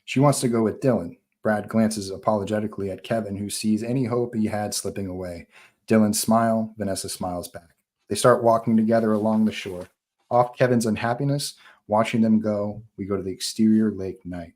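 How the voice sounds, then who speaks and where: A man's voice: fairly low pitch, brisk, darkish tone. A man narrating a screenplay at a live table read, reading terse scene directions in short phrases.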